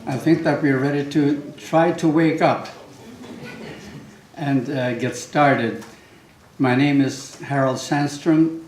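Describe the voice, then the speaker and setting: An older man with a Swedish accent, opening a conference with relaxed, joking remarks and many fillers. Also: mid-range voice, takes it slowly, pauses often. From Sweden. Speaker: conference host. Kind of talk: welcoming remarks. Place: large lecture hall. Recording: echoey hall, faint background hum.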